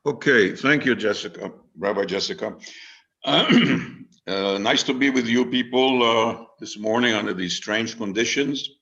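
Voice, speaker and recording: A man in his eighties from Israel, a retired rabbi, speaking informally and deliberately to an online group, with storytelling pauses and repeated phrases. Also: medium pitch, vocal fry, a bit bright. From Israel, born in Haifa under British Mandate Palestine. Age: 80s. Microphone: video call microphone. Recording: dull, lo-fi video call audio.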